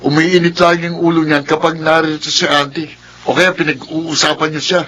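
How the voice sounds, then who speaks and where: A man's voice: a little high, flat delivery, moderate pace, sharp tone. A man with a Filipino accent playing a whiny, complaining teenage younger brother in a radio drama.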